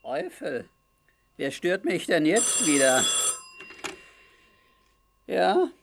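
An actor playing a tough, good-hearted sailor hero in a children's audio drama, boastful, muttering and full of exclamations. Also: male voice, a little high, wildly swooping pitch, very slow, creaky, noisy background, heavily acted. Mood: grumbling.

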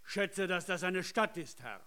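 Radio drama bit part: irritable riverboat skipper, sardonic and curt, pitched well up. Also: male voice, animated, measured pace, sharp tone, heavily acted. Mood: dry sarcasm. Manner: dry, sardonic, clipped. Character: curt, irritable, sarcastic male steamboat captain. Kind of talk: German radio drama acting.

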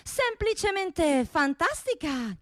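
A woman's voice: very high-pitched, sing-song, slow, fairly bright tone, no echo, studio quality, full character voice. A woman playing a seductive, self-admiring female pistol in a theatrical radio play, proud and teasing. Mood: boastful, alluring.

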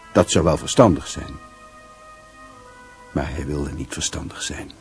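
A male actor playing a grave, brooding storyteller, reading literary narration in a radio drama in a measured, solemn manner. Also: low-pitched, darkish tone.

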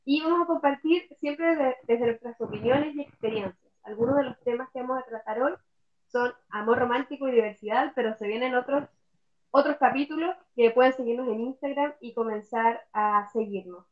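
A woman's voice: a little high, normal speed. A Chilean woman hosting a casual podcast, guiding the talk with an upbeat manner and frequent "eh" fillers. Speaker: podcast host. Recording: slightly dull lo-fi sound.

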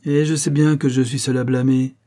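A man's voice: on the low side, fairly even intonation, normal speed, warm tone, slightly rough. A man voicing a proud young actress wife in a storybook reading, impatient, mocking and bitter. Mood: indignant. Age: young adult. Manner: railing, vehement, impatient.

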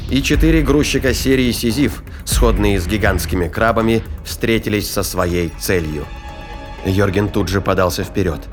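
Male narrator for sci-fi thriller audio play, lowish register, scripted storytelling over strong score. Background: dramatic music, battle sound effects.

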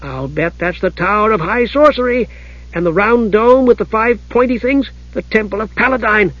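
A man voicing an excitable, curious little kender thief with an eager, chattering, singsong manner in a fantasy audiobook. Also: high voice, moderate pace, no echo, highs cut off, voice pushed up. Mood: excited, delighted.

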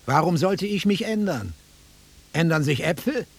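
Radio drama, male: irritable city man, very raspy higher voice, sarcastic, animated intonation. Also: a little slow, heavily acted. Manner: curt, sarcastic. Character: irritable, sceptical city-bred man, dry and put-upon. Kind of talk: German radio drama acting.

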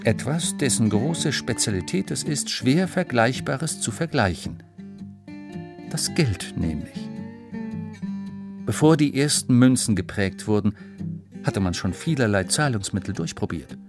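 A man's voice: mid-range voice, animated, unhurried, music in the background. A man reading scripted educational narration for children, explaining in a storytelling way with rhetorical questions.

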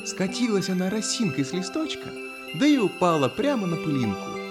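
A man tells a fairy tale to small children in a theatrical manner. His voice is high for a man and very animated in melody. Music is clearly present behind him. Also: a little fast. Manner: theatrical, addressing small listeners. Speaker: storyteller.

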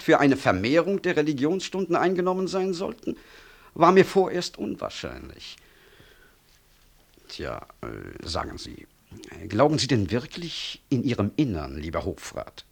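An actor playing an urbane, self-satisfied government minister in a radio drama, suave and teasing. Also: male voice, medium pitch. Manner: suave, teasing, falsely modest. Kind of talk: 1960s German radio drama acting.

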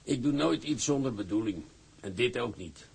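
An actor playing a shrewd, measured and paternal boss of a shipping company, with a gravelly, husky voice. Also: male voice, medium pitch, lively intonation, darkish tone, full character voice. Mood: probing, fatherly. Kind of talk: drama dialogue.

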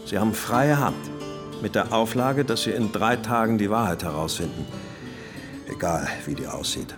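An actor playing a brisk, authoritative police superior giving orders in a radio drama. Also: male voice, lowish voice, lightly acted. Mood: commanding. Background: clear plucked guitar music.

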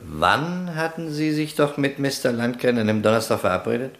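An actor playing a calm, probing police investigator in a radio crime drama, polite and soothing in manner. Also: male voice, mid-range voice, normal speed.

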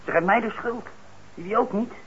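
A man's voice: sits high, normal speed, fairly bright tone. An actor in a Dutch radio play playing a troubled young man on probation, blunt and hesitant, resentful yet eager. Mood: defensive, bitter. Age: young adult. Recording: muffled, noisy old radio recording.

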